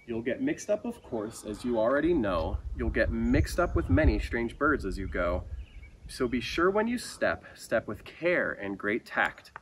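Male storyteller for rhyming kids' book, mid-range voice, highly animated and dramatic, recorded outdoors with light ambient noise. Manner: expressive, theatrical storytelling with dramatic emphasis. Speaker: outdoor education naturalist reading a children's book aloud. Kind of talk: rhyming picture book read-aloud.

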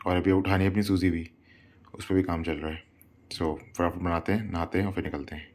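A man with a fairly low voice vlogging on the road, talking straight to his viewers in a loose, playful way. He drops English words into easy, joking chatter. A faint hiss sits under the recording. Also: steady tone, fairly quick, darkish tone. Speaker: travel vlogger. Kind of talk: casual vlog chatter to viewers.

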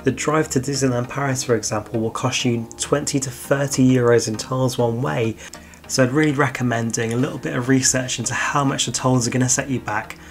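A man's voice: mid-range voice, fairly even intonation, a little fast, creaky. A man from the UK, a travel vlogger, giving an upbeat, chatty scripted voice-over about theme park road trips. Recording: music bed under the voice.

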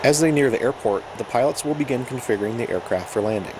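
A man reading scripted educational narration about procedures in a measured, explanatory manner. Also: mid-range voice, expressive, background noise. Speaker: narrator of an aviation training video.

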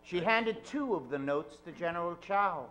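A man's voice: high-pitched, lively intonation, normal speed, a bit bright, low-quality recording. A man playing a melodramatic narrator of a comic old-time radio mystery, telling the story theatrically. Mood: dramatic. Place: theatre stage.

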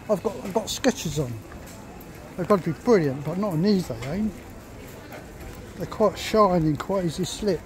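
High-voiced man vlogging outdoors. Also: warm tone, a little hiss or hum, poor audio. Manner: rambling, observational, fragmented remarks. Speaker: travel vlogger. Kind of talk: casual walking commentary. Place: outdoors on a rainy pedestrian shopping street. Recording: outdoor street with passers-by chatter.

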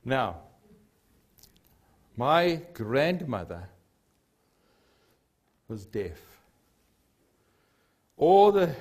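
Slow, earnest South African preacher. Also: male voice, medium pitch, darkish tone. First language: Afrikaans. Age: older. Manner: earnest, gesturing, repeats key phrases for emphasis. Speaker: pastor. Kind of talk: sermon. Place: church hall with a lectern and a cross.

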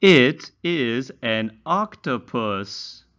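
A man's voice: mid-range voice, animated, extremely slow, a bit bright, clear, resonant tone, lo-fi sound. A man teaching young children in a read-along lesson, enunciating carefully with exaggerated, instructional intonation. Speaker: English teacher for young learners.

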